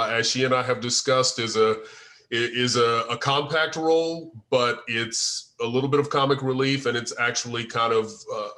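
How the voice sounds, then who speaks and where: A man leads an informal group discussion. His voice is bright and forceful, at an ordinary male pitch. He explains himself earnestly and deliberately. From United States. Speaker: host and co-writer of a script table read.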